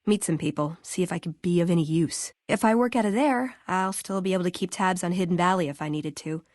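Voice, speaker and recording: A woman's voice: fairly low pitch, a little fast, darkish tone, no room sound, studio quality, natural voice. An American woman playing a wry, earnest young scribe in a video game, speaking in a conversational way. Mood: casual, friendly. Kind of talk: video game character dialogue.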